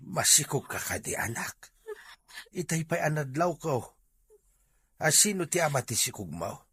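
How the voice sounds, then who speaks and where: A stern but caring Ilocano father played by a man in a radio-style melodrama. He is emphatic and husky, with wide swings of pitch. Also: very animated, unhurried, a bit bright, dry recording, exaggerated voice. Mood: shocked. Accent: Filipino, Ilocano.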